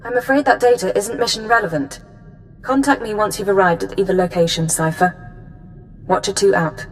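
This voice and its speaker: A businesslike intelligence handler, voiced by a woman briefs crisply in an even, mid-pitched voice. Faint music runs under this game cutscene. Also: brisk, close to an everyday voice. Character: brisk female intelligence handler.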